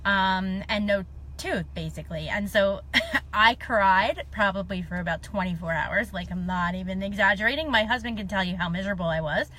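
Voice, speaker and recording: A woman's voice: mid-range voice, normal speed, pushed and bright, low-quality recording. A middle-aged woman with a slight lisp, chatting casually and self-deprecatingly on a live stream. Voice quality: slight lisp from a dental appliance.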